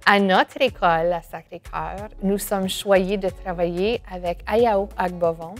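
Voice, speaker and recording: A woman from northern Ontario who is a high school principal, speaking in a composed way in an interview. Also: steady tone, a little slow. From Sudbury, Ontario. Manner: proud, composed. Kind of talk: interview testimony.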